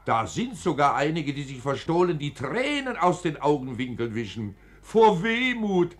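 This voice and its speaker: A man's voice: fairly high pitch, sing-song, moderate pace. A man playing a hearty, mocking storyteller in a 1940s German radio drama, with theatrical, exclamatory delivery. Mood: boisterous, mocking. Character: hearty, mocking male storyteller rousing his listeners. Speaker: narrator in a radio play. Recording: 1940s studio radio recording with music.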